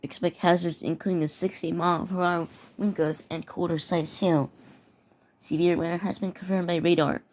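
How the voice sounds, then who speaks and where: A woman's voice: on the low side, little pitch movement, moderate pace, a little mellow. A woman reading mock severe weather warnings aloud with her own commentary, often stumbling over and repeating words. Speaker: mock emergency alert narrator.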